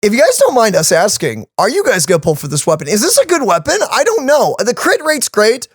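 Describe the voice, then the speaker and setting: A man co-hosting a casual game reaction, his pitch swinging up and down as he talks. He explains things loosely, full of "uh" and "like", and sounds close and dry on the mic. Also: brisk, no room sound. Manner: animated, explains game stats with many fillers. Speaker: co-host of a game reaction channel.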